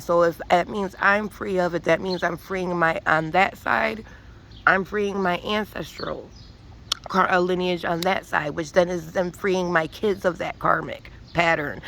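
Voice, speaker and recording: A woman's voice: lowish voice, fairly even intonation, moderate pace, a bit bright. A woman giving an emphatic, profane spiritual monologue with many restarts, rambling and preachy. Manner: intense and preachy. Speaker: vlogger.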